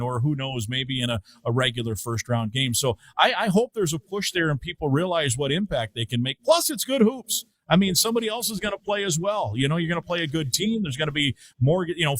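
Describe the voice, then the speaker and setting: A man's voice: a little high, a bit bright, rough recording. A middle-aged man from Iowa, a radio play-by-play broadcaster chatting about college basketball, thoughtful with frequent fillers and restarts. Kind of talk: casual sports talk.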